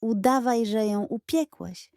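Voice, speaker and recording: A woman's voice: a little high, a little slow, a little mellow, clean tone, dry recording. A woman voicing a sly, secretive male cat in a children's story, speaking in terse, conspiratorial phrases.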